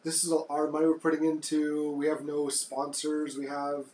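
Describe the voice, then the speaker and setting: A man with a deep voice co-hosting a podcast in casual chat, soft-spoken and hesitant, often restarting his sentences. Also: completely flat delivery, moderate pace, reverberant. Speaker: podcast co-host.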